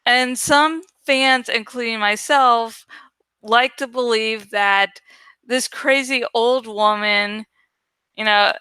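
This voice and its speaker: A woman's voice: a little high, steady tone, slow, bright, forceful tone, clean tone, no room sound. A woman hosting a book read-aloud show, giving informal fan commentary that rambles and digresses. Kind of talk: casual, rambling fan commentary between dramatic readings.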